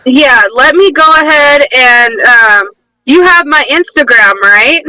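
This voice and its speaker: A woman's voice: high-pitched, slow pace, pushed and bright, rough recording. A woman guest on a podcast, telling a personal story in a rambling, emotional way. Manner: rambling, emotional, fillers and restarts, acts out quotes. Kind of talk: casual storytelling in interview.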